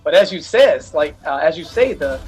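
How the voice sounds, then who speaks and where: A man's voice: fairly high pitch, big pitch swings, moderate pace, a little mellow, low-quality recording. A man doing loose, joking play-by-play commentary on a fighting game tournament. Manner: hype, loose, jokes with co-caster. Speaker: esports commentator.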